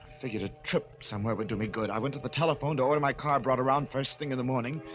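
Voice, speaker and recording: An actor playing a guilty, frightened man confessing in an old-time radio drama, swinging from hushed to panicked. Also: mid-range voice, rapid-fire, lo-fi sound, over music, lightly acted. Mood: brooding, tense. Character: guilty, frightened wife-poisoning man narrating his confession.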